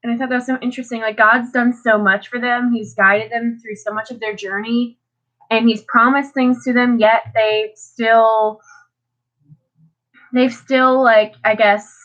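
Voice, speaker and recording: A teenage girl, congested and sniffly, talking through her Bible reading in a loose, rambling way, full of "like" and "um". Her voice sits a bit high for a woman, and the recording is dull and lo-fi. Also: level tone, unhurried, fairly bright tone. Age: teens. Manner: rambling, many fillers and restarts. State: stuffed up, sniffling. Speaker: vlogger. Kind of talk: casual devotional talk.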